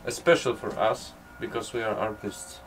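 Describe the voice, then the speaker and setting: A man's voice: lowish voice, steady tone, moderate pace. A man with a Polish accent, chuckling often as he answers casually in an interview. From Poland. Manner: chuckles often while talking. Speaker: artist and gallery co-runner being interviewed. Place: inside a small old caravan. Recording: faint background ambient noise.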